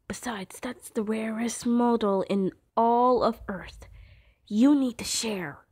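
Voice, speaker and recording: A woman voicing a patient father penguin in a cartoon fan dub, talking in a soft, hushed, lecturing way. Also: a little high.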